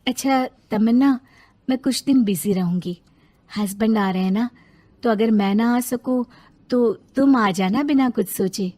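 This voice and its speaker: A woman's voice: fairly high pitch, measured pace. A woman voicing a meek, patient young wife in a story, polite, sweet and conciliatory. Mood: calm, placating. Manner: soft-spoken, polite, conciliatory.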